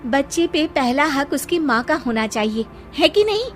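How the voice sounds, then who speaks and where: A woman's voice: high-pitched, lively intonation, normal speed, faint music behind. A woman voicing an exasperated young cartoon mother who talks in a plaintive, complaining way.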